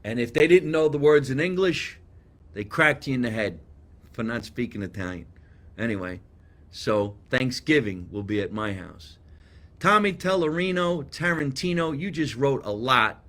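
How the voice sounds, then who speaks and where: A man from New Jersey, speaking with its accent, hosts a casual live show and chats loosely with viewers. His delivery is rambling and animated, with a mid-range voice. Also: medium pitch, normal speed. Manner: chatty, rambling, animated storytelling. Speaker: podcast host. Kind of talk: casual live chat, reading viewer comments.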